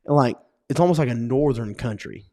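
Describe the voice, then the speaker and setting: An earnest young man with a Western North Carolina twang, co-hosting a laid-back podcast. He talks in long, rambling, animated runs. His voice sits at an everyday middle pitch. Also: normal speed. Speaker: co-host. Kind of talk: casual podcast chat.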